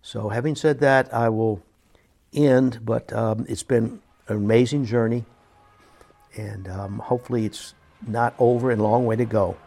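A middle-aged man speaking in an earnest, halting, plain-spoken way, as a business owner giving sincere personal remarks. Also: mid-range voice, fairly even intonation, dark tone, soft background music. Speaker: business owner reading staff emails and reflecting.

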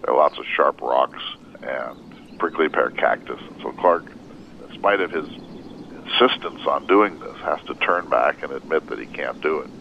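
A man speaking as a history expert, telling a story in deliberate phrases broken by pauses. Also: a bass voice, creaky, highs cut off, a little hiss or hum. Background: music.

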